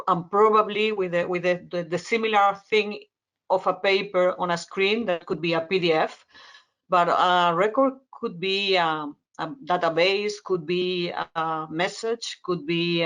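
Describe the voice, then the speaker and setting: A woman from Spain with a Spanish accent, a native Spanish speaker, answering as an expert panelist and searching for words with frequent repetitions. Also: fairly low pitch. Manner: halting, word-searching explanation with repetitions. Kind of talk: conference panel discussion.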